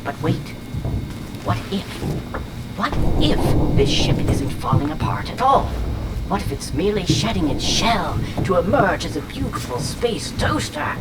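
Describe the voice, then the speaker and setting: An unhinged, whimsical artificial intelligence from an audio drama. The delivery is theatrical and eccentric, with very lively, swooping intonation. A clear layer of sound-effect noise sits behind the voice. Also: female voice, mid-range voice, unhurried. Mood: manic musing. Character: whimsical, unhinged sentient shard voice inhabiting a robot.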